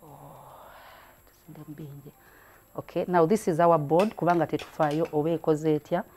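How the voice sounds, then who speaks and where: Low-voiced woman demonstrating crafts. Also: steady tone, normal speed, background noise. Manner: mixes languages, chatty and encouraging. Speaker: craft show host. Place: indoor room at a craft table.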